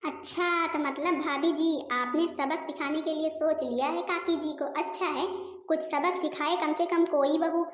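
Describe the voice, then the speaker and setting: A woman's voice: high voice, steady tone, unhurried, faint music behind. A woman voicing a nosy, gossipy village neighbour in a comedy cartoon, indignant and meddling. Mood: approving. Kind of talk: Hindi comedy cartoon dialogue. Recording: echoey, long reverberant room sound.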